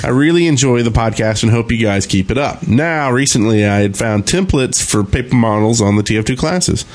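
A man's voice: mid-range voice, lively intonation, fairly quick, a little mellow. Guy co-hosting a gaming podcast, just casual banter with his buddies. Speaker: podcast co-host.

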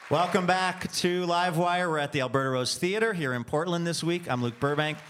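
A man's voice: on the high side, brisk, fairly bright tone. A male radio show host announcing upbeat and friendly in front of a live theater audience. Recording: live theater broadcast with music and crowd.

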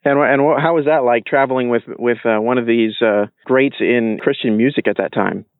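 A man's voice: mid-range voice, brisk, no echo. Male interviewer with thin phone-like sound. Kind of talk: conversational interview questions.

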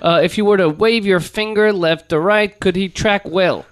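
A male podcast co-host who rambles through stories in crude, joking, casual chat. His voice sits a touch high for a man, recorded dry and close with no room sound. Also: moderate pace, clear, resonant tone, dry recording.

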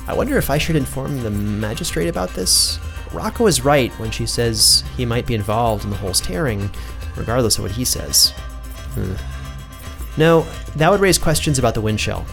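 An actor playing a tired, absent-minded archivist in a fantasy audio drama, muttering creakily to himself. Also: male voice, medium pitch, animated, normal speed, soft background music. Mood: musing, worried.

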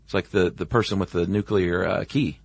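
A man's voice: low voice, fairly even intonation, a little fast, creaky, husky, no room sound. An American man chatting casually as a podcast co-host, with informal, laid-back phrasing. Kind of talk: casual conversational chat.

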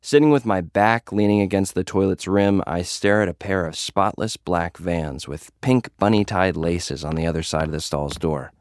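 A man's voice: low-pitched, normal speed, hardly any pauses, dry recording, studio quality. A man narrating an audio drama in the first person as a cocky, jaded young influencer who fights, with a brooding, menacing delivery. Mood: brooding, cynical. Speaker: narrator and lead character of an audio fiction.

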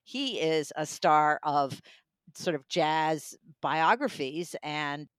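Casting: female reviewer, smooth clear voice, close dry sound, enthusiastic in casual discussion. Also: deep voice, expressive, takes it slowly, no echo. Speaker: audiobook reviewer.

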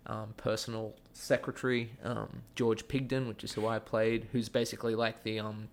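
A man speaks in a relaxed, rambling way, his Australian accent toned down. His voice has a gravelly fry to it, and his sentences drift through frequent fillers and restarts. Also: fairly low pitch, normal speed, a little hiss or hum. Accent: Australian, softened. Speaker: guest, actor and school theatre teacher. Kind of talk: casual podcast chat.

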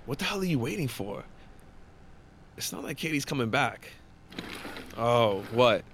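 An actor playing a brash, foul-mouthed war-veteran coworker, blunt, pushy and joking, in a naturalistic audio drama. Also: male voice, medium pitch, big pitch swings, a little slow, fairly bright tone, slight background noise. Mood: pushy, teasing.

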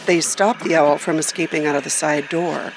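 A woman's voice: low voice, fairly even intonation, moderate pace, noisy background. A woman radio reporter reading scripted narration for a feature story.

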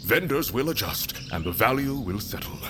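An actor playing a stern, measured middle-aged patriarch who rules a fantasy mountain council, speaking formally and deliberately. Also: male voice, medium pitch, big pitch swings, background noise, natural voice. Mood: measured, reasoning. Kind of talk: fantasy audio drama acting.

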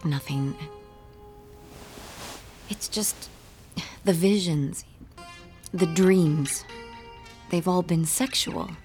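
A woman's voice: on the low side, very slow, pauses often, darkish tone, soft background music. An actress playing a young female artist in an intimate audio drama, troubled and stubborn, with a wry, direct manner. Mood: intimate, uneasy. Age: young adult.

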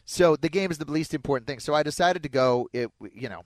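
Higher-pitched American sports radio host, male. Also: fast, no echo. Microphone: close studio broadcast microphone.

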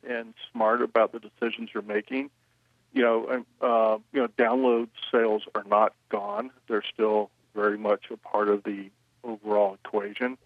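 A man who runs a record label, answering interview questions in a measured way, with frequent "you know" fillers. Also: mid-range voice, no room sound, phone-quality sound.

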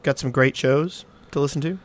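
A man's voice: medium pitch, normal speed, no room sound. A man co-hosting a podcast in casual chat. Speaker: podcast co-host. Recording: music playing behind the voice.

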